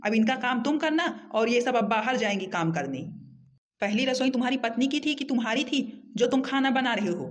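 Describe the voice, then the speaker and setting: A woman's voice: a little high, expressive, fairly quick, close to an everyday voice. A woman voicing a domineering, sarcastic elder brother-in-law in an amateur cartoon comedy. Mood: scolding, indignant. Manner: sarcastic, hectoring.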